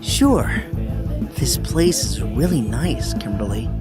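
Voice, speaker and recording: A man's voice: medium pitch, sing-song, slow pace, over loud music. A man acting a shy, studious teenage boy in an audio drama, speaking hesitantly and earnestly. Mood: awkward, polite.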